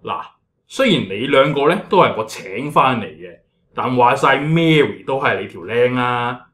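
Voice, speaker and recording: A man's voice: medium pitch, expressive, moderate pace, fairly bright tone. A man playing an exasperated, no-nonsense church leader in a comedic radio drama, blunt and colloquial, with a Hong Kong Cantonese accent.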